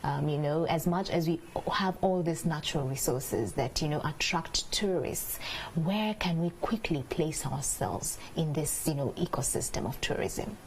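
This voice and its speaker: Lower-voiced woman hosting an interview. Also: slight background noise, low-quality recording. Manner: engaging, prompting with questions and quick affirmations. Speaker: interview host. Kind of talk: studio interview.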